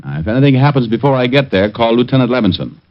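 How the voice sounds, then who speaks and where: A man's voice: medium pitch, sing-song, racing, natural voice. Man playing a hard-boiled radio detective, dull vintage sound. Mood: businesslike. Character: wisecracking, tough male private detective. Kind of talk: old-time radio detective acting.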